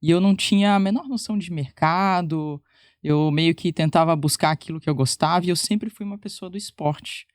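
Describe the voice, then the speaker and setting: A woman's voice: fairly low pitch, brisk, no echo, studio quality. A woman with a Paraná Brazilian accent, a physiotherapist chatting informally as a podcast guest, full of fillers and restarts. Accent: Paraná Brazilian Portuguese. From Brazil, born in Minas Gerais, raised in Paraná. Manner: chatty and informal, many fillers and self-corrections. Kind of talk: casual interview conversation.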